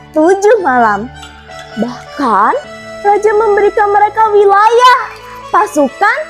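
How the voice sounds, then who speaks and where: A woman storyteller narrating a folktale in an expressive, dramatic, theatrical way. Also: extremely high pitch.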